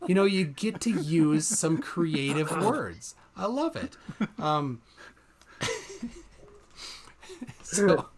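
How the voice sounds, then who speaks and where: A man hosting a casual live-stream chat, talking haltingly with many fillers as he looks for things. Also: fairly high pitch, big pitch swings. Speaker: host.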